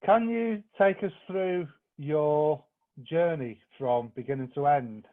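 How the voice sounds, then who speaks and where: A man's voice: a little high, takes it slowly. A man hosting an online conversation with artists, asking questions in a friendly, encouraging, informal way. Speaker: interviewer and arts officer. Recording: tinny phone-like call audio.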